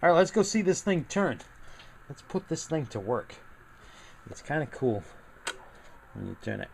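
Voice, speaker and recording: A man's voice: on the high side, very animated, moderate pace, creaky, darkish tone. A man giving casual, upbeat, folksy hands-on narration as a hobbyist vlogger. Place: outdoors and in a home radio room. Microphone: handheld camera mic. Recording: noisy handheld camera recording.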